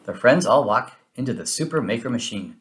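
A man reading a children's story aloud in an expressive, animated way with dramatic emphasis. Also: mid-range voice, a little fast. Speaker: storybook reader. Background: music.

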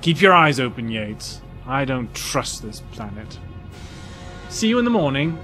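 A man's voice: mid-range voice, very animated, unhurried, over music. A man playing a wary, insistent spaceship crewman in a sci-fi audio drama.

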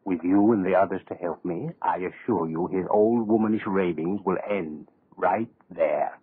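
Casting: grandiose villain plotting to rule, low husky voice, soft menace, 1930s radio melodrama. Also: male voice, normal speed. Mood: smug menace. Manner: pompous, menacing, soft-spoken oratory. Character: suave, power-hungry male dictator-in-waiting, grandiose schemer. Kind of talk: 1930s radio horror acting. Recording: muffled old 1930s radio recording.

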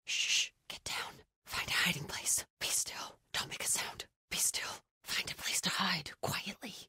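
A woman's voice: on the low side, a little slow, breathy edge, no echo, whispered, studio quality, heavily acted. A woman playing a fierce, devout cult fighter in a video game, delivering her lines with fanatical conviction. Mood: hushed, wary. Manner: urgent shouted battle calls, hushed prayerful murmurs.

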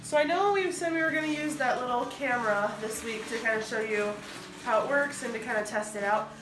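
A woman's voice: on the high side, brisk, music in the background. Chatty woman filming in an echoey room. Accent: American. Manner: chatty, explains to camera while working. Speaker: vlog host. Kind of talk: casual home renovation vlog. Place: unfinished laundry room in a farmhouse. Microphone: phone camera held at a distance. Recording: echoey room, distant phone mic.

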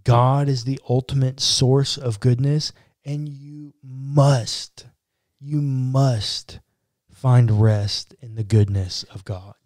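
A young pastor speaking slowly and earnestly on a podcast. His voice is mellow and relaxed, and he weighs his words with care. He is recorded dry on a close boom-arm mic in a small room. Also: no room sound. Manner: measured, earnest, reflective. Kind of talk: casual chat. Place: small home studio room.

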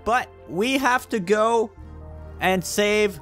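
A man's voice: high-pitched, slow, a bit bright, soft background music. A young man doing goofy, improvised roleplay commentary as host of a gaming video. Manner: playful, goofy.

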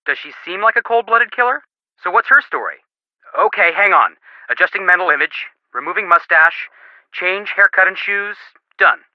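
Goofy eager young detective dude in a game, wisecracking, really high voice, kinda muffled dry audio. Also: male voice, no echo. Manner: playful, wisecracking, enthusiastic. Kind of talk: video game character dialogue.

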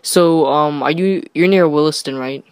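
A teenage boy making a casual prank phone call in a hesitant, halting way. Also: a little high, smooth, dry recording, studio quality. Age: teenager, fifteen. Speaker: prank caller.

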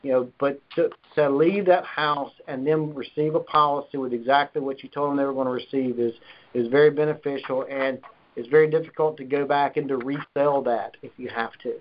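A man's voice: medium pitch, steady tone, a little fast. A man explaining things as the host of a business conference call, speaking over the telephone. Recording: dull, band-limited conference phone line.

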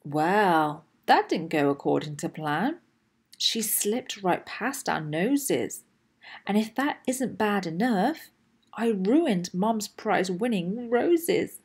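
Animated, unhurried woman reading a children's story. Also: medium pitch, husky. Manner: animated, deliberate delivery with emphatic phrasing. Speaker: host and children's storybook reader. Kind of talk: expressive read-aloud storytelling for children.

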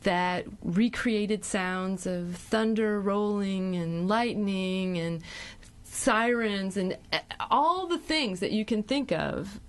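A woman's voice: mid-range voice, slow. An American woman hosting a casual radio interview, conversational and often rephrasing as she goes. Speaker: radio show host. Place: radio studio. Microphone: studio broadcast microphone.

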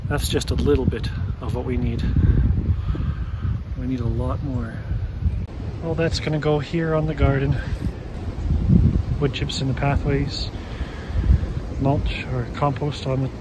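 A man in his thirties giving a casual tour of his garden outdoors, explaining his plans in a plain, practical way into a phone held at arm's length. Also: medium pitch. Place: outdoors on a snowy rural acreage. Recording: loud wind and outdoor noise.

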